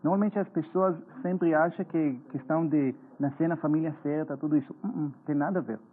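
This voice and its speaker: A man's voice: on the high side, a little hiss or hum. A man in his forties from Israel with an Israeli accent, earnestly explaining as a guest in a TV interview. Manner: earnest, explanatory, slightly halting. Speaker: guest, finance author. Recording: tinny phone-like broadcast sound.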